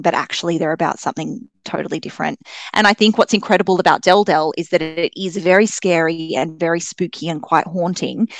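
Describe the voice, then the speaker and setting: A woman's voice: fairly low pitch, no echo. A woman speaking as an author guest on a podcast, chatting casually and effusively. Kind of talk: casual, enthusiastic interview chat.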